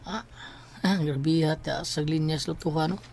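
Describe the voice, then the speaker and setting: A woman's voice: sits low, moderate pace, gravelly, background noise. A woman playing an exasperated, worried wife in a Cebuano radio drama, speaking plaintively with emotional exclamations. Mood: brooding, suspicious. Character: exasperated, worried wife of a lottery-crazed husband.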